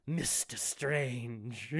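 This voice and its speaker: An actor playing a gloating, condescending villain bishop in an audio drama, with a pompous, taunting delivery. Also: male voice, mid-range voice, very slow. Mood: gloating, triumphant. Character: gloating male villain bishop plotting apocalyptic sacrifice.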